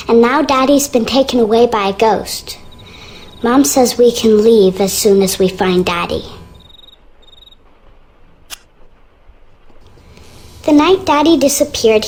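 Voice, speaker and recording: Woman doing a frightened young girl for a mystery game, high voice, childlike, shy and earnest. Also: a little mellow, natural voice. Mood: sad, scared. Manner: childlike, timid, confiding. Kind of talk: video game character dialogue.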